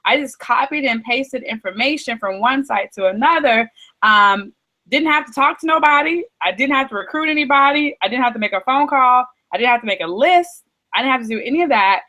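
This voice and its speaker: A hoarse woman, middle-aged, with a bright, pushed-forward voice. She tells her story casually and with lots of expression, acting out what she thought and said at the time. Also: sits high, brisk, poor audio. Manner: animated storytelling, acting out her own reactions. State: losing her voice. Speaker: interview guest, online seller.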